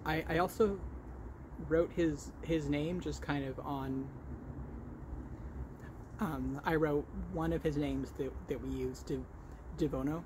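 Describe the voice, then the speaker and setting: Male vlog host, stutters, slow halting delivery, casual chat, clear background noise. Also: fairly high pitch, steady tone. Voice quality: stutter with repeated sounds and blocks. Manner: halting, self-correcting. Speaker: vlog host showing crochet work. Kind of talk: casual podcast-style chat.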